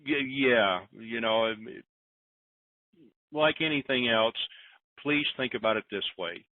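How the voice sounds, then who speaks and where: Joking man on a tinny phone line. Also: medium pitch. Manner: rambling, self-deprecating, jokey, with restarts. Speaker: host of a conference call. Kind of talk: casual conference call, reading listener questions.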